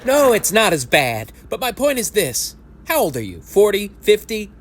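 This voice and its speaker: A man's voice: high-pitched, wildly swooping pitch, moderate pace, a bit bright, a little hiss or hum, voice pushed up, heavily acted. A man in his thirties playing a self-pitying lifelong sports fan at a support group, rambling and self-deprecating, and sometimes rising into indignant rants in an audio play. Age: 30s. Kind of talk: amateur audio play acting.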